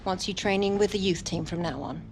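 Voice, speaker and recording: A woman acting an unyielding football club executive in a video game cutscene, formal and measured. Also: lowish voice, a little mellow, a little hiss or hum, close to an everyday voice. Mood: firm, formal.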